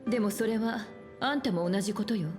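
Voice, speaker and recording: A woman's voice: medium pitch, rapid-fire, music in the background, close to an everyday voice. An actress playing an assertive, self-assured woman in a fantasy video game, with a cool, commanding manner. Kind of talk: Japanese video game voice acting.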